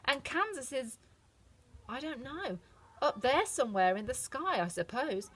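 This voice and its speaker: A woman's voice: high voice, very animated, a little slow, slightly rough, pitched above the performer's own voice, exaggerated voice. A woman voicing a sweet, earnest young farm girl who speaks in a pleading, homesick way. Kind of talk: storybook reading.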